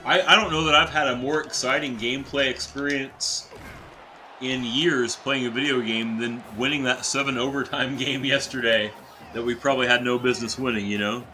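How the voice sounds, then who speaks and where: A young adult man, a teacher by day, giving casual, excitable live-stream commentary while playing a football video game and chatting with viewers. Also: mid-range voice, moderate pace, soft background music. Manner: excitable, chatty, reacts to plays and reads chat aloud.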